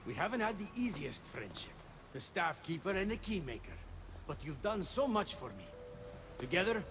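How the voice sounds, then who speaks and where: Video game messenger god, high male voice, lively swooping intonation, earnest, emotive, music behind. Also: moderate pace. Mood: sincere. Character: earnest, anxious messenger god, loyal friend. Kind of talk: dramatic video game acting.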